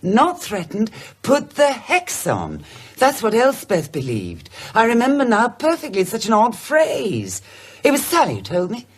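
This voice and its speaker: British woman, radio drama, inquisitive, decisive, musing, husky, very animated intonation. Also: a little high, unhurried, exaggerated voice. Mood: intent. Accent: British English. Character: inquisitive, decisive married woman piecing together memories.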